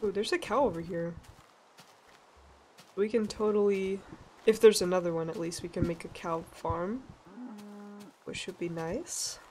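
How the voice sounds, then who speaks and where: A young woman from Sweden with a stuffy, nasal voice, chatting casually and laughing as she narrates a relaxed gameplay session. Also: fairly low pitch, a little slow, pauses often, slight background noise. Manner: chatty, rambling, laughs often. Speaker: let's play gamer.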